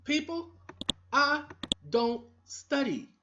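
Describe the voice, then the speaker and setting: A woman's voice: high-pitched, very animated, very slow, background noise. A woman hosting her own videos, lecturing her viewers in a preachy, scolding and repetitive monologue. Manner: preachy, scolding, repetitive, talks straight to the audience. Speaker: online video host. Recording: dull lo-fi recording.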